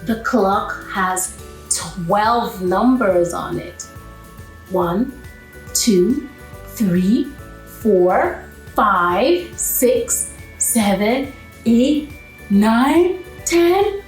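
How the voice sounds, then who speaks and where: A woman's voice: mid-range voice, animated, drawn-out words, long pauses. A woman hosting a children's read-aloud, talking playfully to young listeners and asking them questions. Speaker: children's storyteller and host. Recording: roomy echo with music.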